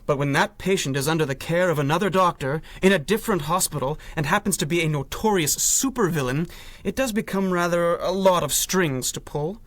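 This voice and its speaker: An actor playing a wry, exasperated, principled doctor in a 1930s pulp radio drama, talking in a wordy, sardonic way. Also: male voice, fairly high pitch, a little fast, a bit bright, dry recording, close to an everyday voice.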